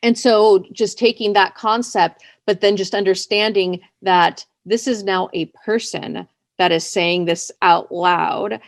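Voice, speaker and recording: A woman's voice: mid-range voice, steady tone, measured pace, vocal fry, dry recording. Chatty woman in a dry recording. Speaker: interviewed fiction writer. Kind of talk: casual interview chat.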